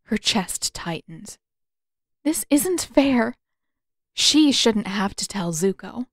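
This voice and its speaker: A woman reading scripted fiction aloud as a narrator, with expressive, dramatic delivery. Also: mid-range voice, takes it slowly, slight background noise. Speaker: audiobook narrator of fan fiction.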